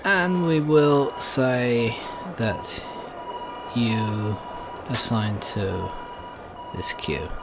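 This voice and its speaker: A man's voice: medium pitch, drawn-out words, music in the background. A man giving casual let's play commentary, thinking aloud in a hesitant way as he goes. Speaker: gaming video host.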